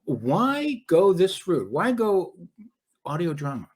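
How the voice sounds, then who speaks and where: A man's voice: high voice, very animated, slow pace, slightly rough. A man hosting a podcast, introducing and interviewing in a conversational style. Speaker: podcast host.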